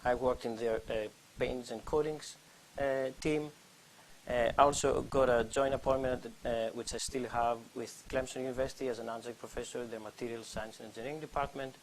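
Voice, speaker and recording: A man's voice: mid-range voice, steady tone, brisk, rough recording. A man, an industry scientist, introducing himself and his background at a panel discussion. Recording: hissy, noticeable background noise.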